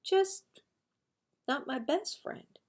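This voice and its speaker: A woman's voice: high voice, extremely slow, pitched above the performer's own voice. A woman voicing evasive schoolboy friends in a children's story, emphatic and matter-of-fact.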